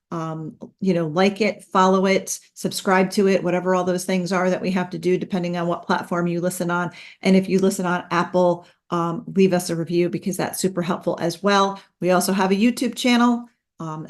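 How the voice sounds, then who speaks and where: Upbeat chatty woman podcast host. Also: lowish voice. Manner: chatty, upbeat, many ums. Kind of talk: casual podcast talk.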